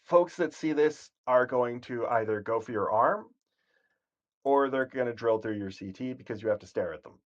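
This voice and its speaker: A man giving casual, rambling commentary on a game stream, with a calm, soothing voice and frequent self-corrections. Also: mid-range voice, moderate pace, muffled recording. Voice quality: soothing, sleep-inducing voice.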